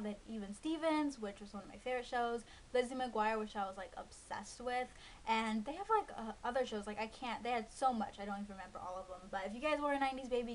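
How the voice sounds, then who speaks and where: A woman's voice: fairly high pitch, rough recording. A woman in her early twenties chatting casually to camera, rambling with lots of ums and likes. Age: 20s. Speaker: beauty vlogger. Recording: faint hiss.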